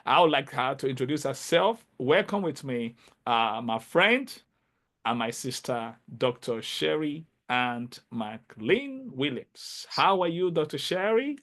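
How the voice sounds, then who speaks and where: A man hosting an interview podcast, speaking with enthusiasm and emphasis, often halting and repeating words. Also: mid-range voice, big pitch swings, fairly bright tone. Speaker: podcast host.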